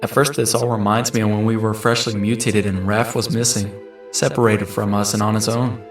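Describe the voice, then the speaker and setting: A man's voice: on the low side, level tone, brisk, close to an everyday voice. Roomy, distant man as reflective teen turtle. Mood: wistful. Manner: earnest, reflective. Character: thoughtful, lonely teenage male mutant turtle. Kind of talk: introspective scripted monologue. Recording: roomy, distant mic under loud music.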